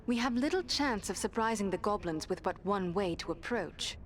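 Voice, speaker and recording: A woman's voice: mid-range voice, lively intonation, moderate pace, close to an everyday voice. An actress playing a watchful, serious female adventurer in a fantasy video game, composed and formal. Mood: wary, serious.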